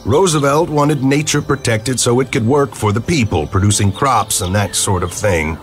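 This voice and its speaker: A man's voice: medium pitch, moderate pace, faint music behind, lightly acted. A man playing historical American figures telling their own stories in a comic-book history piece. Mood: reflective.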